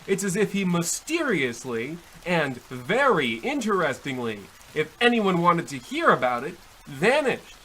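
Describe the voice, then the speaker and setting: A man's voice: fairly high pitch, big pitch swings, unhurried, few pauses, fairly bright tone, a little hiss or hum, rough recording. A man playing a bumbling trickster devil in a comedic radio play, theatrical and flustered as he haggles over souls. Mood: flustered, hinting. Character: bumbling, nagged trickster devil striking soul deals. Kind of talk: comedic old-time radio play acting.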